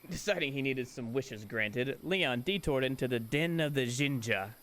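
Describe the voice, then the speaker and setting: A man's voice: mid-range voice, lively intonation, normal speed, a bit bright, lightly acted. A man voicing a chatty, sarcastic young woman who narrates her story in a visual novel. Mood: wry.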